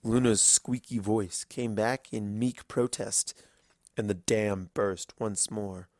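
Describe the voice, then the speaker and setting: A man narrating dramatic fiction in a grave, deliberate storytelling style, with weighty pauses. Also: on the low side, fairly even intonation, darkish tone, no room sound, clean studio sound.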